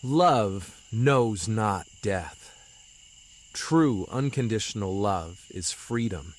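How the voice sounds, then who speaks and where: Slow talking gentle wise young guy, earnest mystic in an audio drama, lowish voice. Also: lively intonation. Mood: serene, earnest. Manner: slow, earnest, philosophical delivery. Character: gentle, wise young male mystic consoling his despairing friend.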